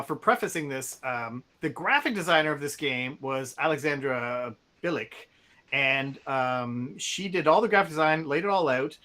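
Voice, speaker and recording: A man's voice: on the high side, bright, forceful tone. A man hosting a livestream who talks in an upbeat, rambling way with plenty of fillers. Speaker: game publisher host. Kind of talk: casual livestream chat.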